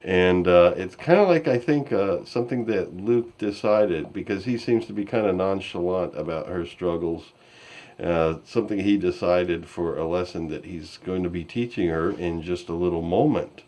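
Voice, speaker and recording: A man hosting a casual podcast discussion over an online call, speaking in a halting, scattered, self-deprecating way. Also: low voice, darkish tone. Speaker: podcast host. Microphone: online call microphone. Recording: voice call with intermittent distortion.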